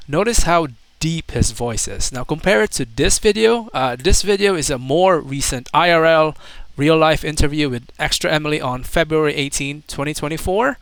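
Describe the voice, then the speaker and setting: A man's voice: fairly high pitch, a bit bright, no echo. A man giving opinionated, accusatory commentary in the manner of a video essay. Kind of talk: critical commentary.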